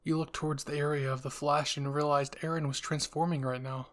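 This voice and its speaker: A man's voice: fairly high pitch, level tone, brisk, a bit bright. A man narrating a scripted second-person story as the storyteller of a listener roleplay.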